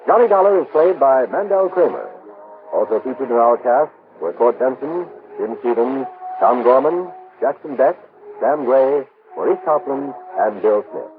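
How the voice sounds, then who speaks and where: A man's voice: mid-range voice, animated, normal speed, faint music behind. An American man announcing from a script in the style of 1960s radio. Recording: narrow-band, noisy old radio broadcast.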